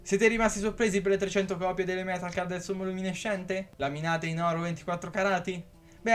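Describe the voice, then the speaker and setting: Man, a bit high-pitched, reads a script with small slips over soft music; video narrator. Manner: reads from a script, occasional stumbles. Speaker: YouTube creator.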